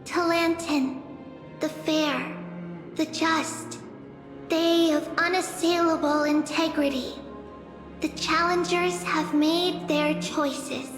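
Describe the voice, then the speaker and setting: A woman's voice: high-pitched, fairly even intonation, takes it slowly. A woman playing a solemn female oracle in a video game, pronouncing judgment in a ceremonial, formal way. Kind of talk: English video game voice acting. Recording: echoey hall reverb, faint music behind.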